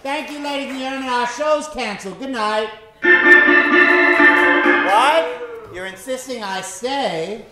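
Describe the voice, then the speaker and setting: A man's voice: extremely high pitch, takes it slowly, pauses often, echoey room, over loud music, low-quality recording. A man hosting a live improv show from a theater stage, with theatrical, showman-like patter for the audience. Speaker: host.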